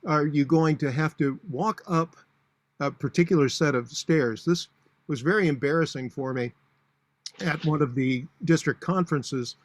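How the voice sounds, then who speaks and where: A booming-voiced man in his sixties gives speaking tips in an instructional talk. His voice sits a little high for a man. The sound is close and dry, and he delivers matter-of-fact advice with plenty of uhs. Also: moderate pace, dry recording. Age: 60s. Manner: practical, matter-of-fact advice with frequent fillers. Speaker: public speaking coach.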